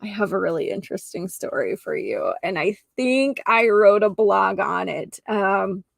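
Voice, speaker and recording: Creaky-voiced woman podcaster, close and dry. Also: medium pitch, lively intonation, a little slow, dry recording. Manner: conversational, emphatic, addresses listener directly. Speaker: podcast host. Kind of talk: casual solo podcast talk with anecdotes.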